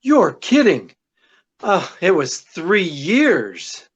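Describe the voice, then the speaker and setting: A woman's voice: lowish voice, huge pitch swings, extremely slow, breathy edge, heavily acted. Woman plays devoted male sleuth, 1940s radio banter, swooping delivery, muffled lo-fi recording. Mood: tender, teasing. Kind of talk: 1940s radio drama acting.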